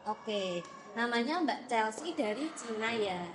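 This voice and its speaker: Young woman, counseling student, friendly and encouraging, higher voice, slight background noise. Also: lively intonation, unhurried. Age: young adult. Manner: friendly and encouraging, many fillers. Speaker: counseling student leading a group session. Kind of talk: classroom group guidance. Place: classroom.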